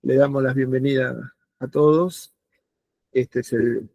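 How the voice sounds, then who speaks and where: A man's voice: medium pitch, creaky, darkish tone, no echo. A man hosting an online talk series, introducing a guest with hesitant speech and many fillers.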